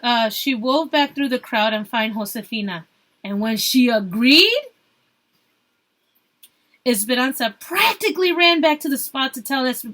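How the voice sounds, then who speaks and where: Female read-aloud teacher, high-pitched and crisp-edged, expressive narration with explanatory asides to pupils. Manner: expressive, pausing to question listeners. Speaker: teacher reading a novel aloud.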